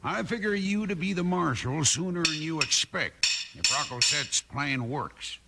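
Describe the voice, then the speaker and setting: An actor playing a sly, mean outlaw in a radio western, talking in a cagey, folksy drawl as a town blacksmith. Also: male voice, fairly high pitch, a little slow, vocal fry. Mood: sly, baiting. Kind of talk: 1950s radio western acting. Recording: noisy old 1950s radio transcription.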